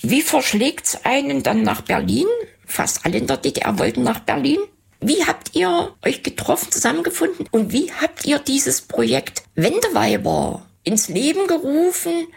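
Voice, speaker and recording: A woman's voice: high voice, sing-song, moderate pace, creaky. A woman interviewing two guests and asking them many curious questions. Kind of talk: casual conversational interview.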